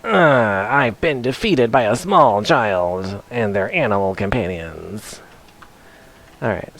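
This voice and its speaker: A man's voice: mid-range voice, creaky. A man doing casual, rambling gameplay commentary for a live stream, chatting playfully to his viewers as he plays. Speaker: game streamer.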